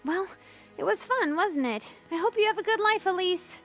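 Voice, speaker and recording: A woman playing a cheerful, curious teenage girl in a game, bubbly and expressive, with a wistful streak. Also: very high-pitched, normal speed, faint music behind, heavily acted.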